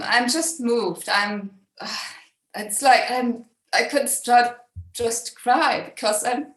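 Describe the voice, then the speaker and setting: A woman from Germany, calling in over a video call and speaking in a halting, heartfelt way with frequent pauses. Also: on the high side, echoey room. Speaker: caller. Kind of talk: emotional call-in sharing.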